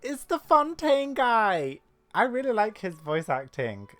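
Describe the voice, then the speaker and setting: A casual gameplay commentator with a bright, forward voice at a medium pitch. The talk is chatty, made of short reactions said aloud, and faint music plays behind it. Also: very animated, measured pace, dry recording. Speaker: gaming streamer.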